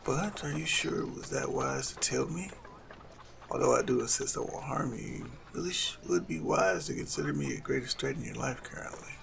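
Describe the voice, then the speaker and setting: Male actor as suave assassin suitor, very creaky voice, soft genteel phrasing, lively intonation, romantic audio roleplay, noisy recording. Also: medium pitch, fairly quick. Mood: enchanted, earnest. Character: lovestruck male assassin, suave, cunning, tenderly courting a noble. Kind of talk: romantic audio roleplay acting addressed to the listener.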